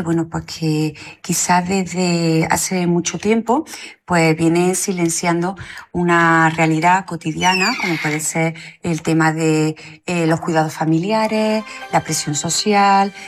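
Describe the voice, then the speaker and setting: A lowish woman's voice feeling for words, like a councillor's press clip recorded with a little background noise. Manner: hesitant, searching for words. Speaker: town councillor. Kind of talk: spoken statement to press. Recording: faint background noise and clicks.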